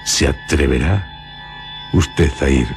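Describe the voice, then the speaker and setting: A man's voice: very deep voice, slow pace. A man narrates horror for radio in an ominous, solemn, theatrical manner, lingering on short phrases. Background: music.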